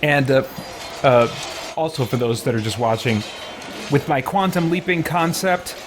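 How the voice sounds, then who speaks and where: A man's voice: medium pitch, measured pace, soft background music. A man casually commentating a roleplay livestream of a video game, rambling and breaking off his sentences as he goes. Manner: rambling, self-interrupting, hesitant phrasing. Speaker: game streamer.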